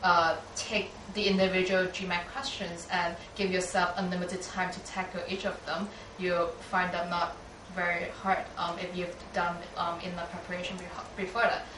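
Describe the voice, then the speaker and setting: A woman's voice: lowish voice, flat delivery, normal speed, few pauses. Young female student from Hong Kong, thoughtful and filler-heavy; roomy, noisy recording. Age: young adult. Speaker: student panelist. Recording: roomy, distant mic with background noise.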